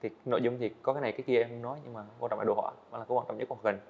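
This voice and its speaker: A man's voice: mid-range voice, fairly even intonation, very fast, vocal fry, muffled recording, slight background noise. An eighteen-year-old man, a guest on a podcast, telling his own story earnestly and answering politely. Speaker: guest, animator. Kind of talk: podcast interview. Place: indoor studio set.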